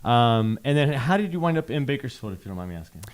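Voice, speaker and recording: A man's voice: medium pitch, very animated, quick pace. A man hosting an interview, who keeps summing up his guest's answers before asking the next question. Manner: recaps answers, leads with "okay, so". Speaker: host. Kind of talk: casual interview chat.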